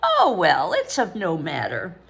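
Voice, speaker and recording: A woman's voice: on the low side, measured pace, vocal fry, heavily acted. A woman voicing a servile, grinning male porter with a Babylonian accent and a reedy, sibilant voice. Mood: wheedling. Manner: oily, obsequious flattery.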